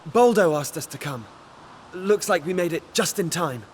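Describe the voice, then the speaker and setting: A man's voice: a little high, lively intonation, normal speed, slight background noise, close to an everyday voice. A man playing a plucky, cheeky, protective young adventurer prince in a video game, with a bold, informal manner. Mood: relaxed, reassuring.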